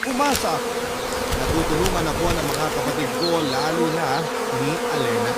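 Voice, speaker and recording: A man reading a first-person letter aloud as a radio drama narrator, telling it with emotion. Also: on the high side. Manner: emotional storytelling. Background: music.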